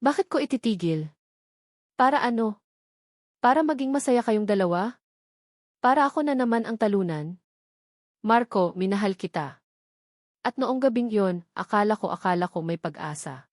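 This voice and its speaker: A woman storyteller voices a scorned, vengeful woman in a romantic audio drama. She is bitter and accusing, taunting her former lover with cold threats. The mid-pitched voice sits in a dry recording. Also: expressive, moderate pace, pauses often, no room sound, close to an everyday voice. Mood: bitter, hurt. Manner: taunting, accusing, switches into English phrases.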